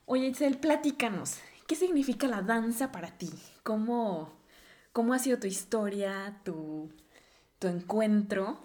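A woman's voice: mid-range voice, lively intonation, unhurried, a little mellow. A woman hosting a podcast, asking her guest questions in a casual, curious way. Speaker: podcast host.

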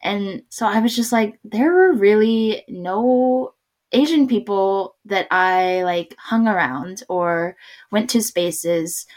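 A woman talking in a relaxed conversation, hesitating and restarting often, with little laughs between thoughts. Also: medium pitch, slow pace. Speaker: podcast guest. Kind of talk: casual conversation.

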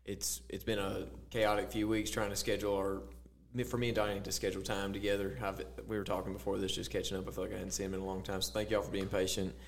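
A man co-hosting a faith podcast, telling stories in a casual, animated way with many fillers. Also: low-pitched, fast, creaky, slight background noise. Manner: storytelling, animated quotes, filler-heavy. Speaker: podcast co-host.

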